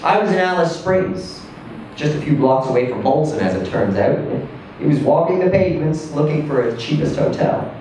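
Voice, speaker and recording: A man reading a literary short story aloud in a theatrical, emphatic manner, with deliberate pauses and swells. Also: a little high, lively intonation, a little mellow. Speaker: story reader. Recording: distant echoey room with faint noise.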